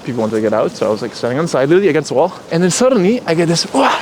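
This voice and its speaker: Playful male host, fast talker, mid pitch; loud street traffic behind. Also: very animated. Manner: playful, teasing, rapid-fire questions. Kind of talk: casual interview. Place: outdoors on a city street, riding a bike.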